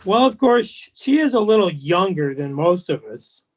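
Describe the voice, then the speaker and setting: A man's voice: high-pitched, very animated, normal speed. A man plays a snobbish society go-between for a radio play. He is formal and genteel, deferential in his phrasing and faintly condescending. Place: remote home recording over video call. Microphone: video call.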